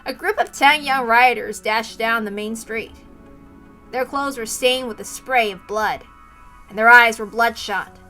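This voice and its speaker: Bright-voiced woman reading a story aloud. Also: fairly high pitch, measured pace, soft background music. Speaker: story reader. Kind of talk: scripted narration, amateur read-aloud.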